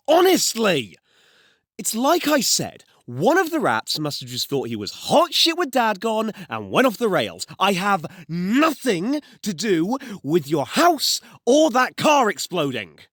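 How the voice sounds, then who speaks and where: A man's voice: high-pitched, huge pitch swings, a little slow, a bit bright, no room sound, clean studio sound, full character voice. A man playing a cowardly young mafia boss in an audio drama, stammering, blustering and nervously polite. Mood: defensive. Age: young adult. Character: cowardly loudmouthed young male mafia don, fawning and flustered. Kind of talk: audio roleplay acting.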